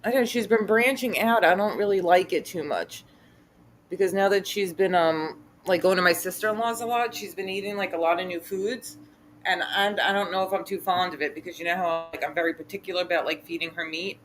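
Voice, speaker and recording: A woman's voice: lowish voice, a little fast, poor audio. A woman hosting a casual live hangout, chatting informally. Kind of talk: casual chat. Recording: clear background noise.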